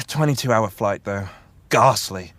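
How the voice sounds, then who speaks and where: A man's voice: lowish voice, expressive, slow pace, dry recording. Flamboyant, swooping male luvvie. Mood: breezy, self-important. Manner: theatrical, gushing, pompous. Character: flamboyant, self-absorbed male actor, grandly theatrical and breezy. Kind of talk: comedic audio drama acting.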